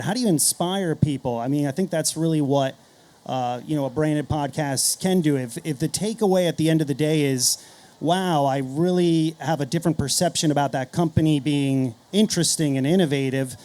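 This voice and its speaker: A man talking as a marketing panelist, explaining ideas to a group. His voice sits a little high for a man and has a soft, mellow tone. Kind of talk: panel discussion, explanatory talk.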